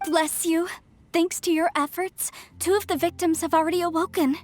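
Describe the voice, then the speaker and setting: A woman's voice: very high voice, steady tone, normal speed. A woman voicing an earnest, polite village woman in a video game. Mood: grateful.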